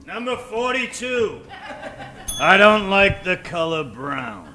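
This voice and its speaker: Male actor as hammy hero captain, bright creaky high voice, big swings, radio spoof. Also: big pitch swings, takes it slowly, soft background music, full character voice. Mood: stubborn, irritated. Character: stubborn, melodramatic space captain brought low. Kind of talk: comic old-time radio acting.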